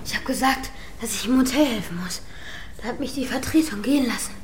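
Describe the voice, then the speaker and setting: A woman's voice: a little high, lively intonation, fast talker, lightly acted. A woman playing a secretive school-age child who narrates and acts in a children's radio play. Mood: hurried, evasive.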